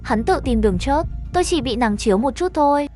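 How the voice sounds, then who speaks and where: A woman's voice: sits high, animated, a little fast, mellow, faint music behind. A woman voicing an injured, frightened and arrogant man who curses and reassures himself in a horror story. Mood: panicked denial. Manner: self-reassuring, cursing, defensive. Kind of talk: horror story character dialogue.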